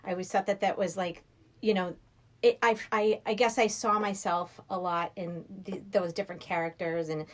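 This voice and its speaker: A middle-aged woman from Massachusetts talking casually and a little haltingly as a podcast guest. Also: on the low side, steady tone. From Massachusetts, USA. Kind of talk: casual chat.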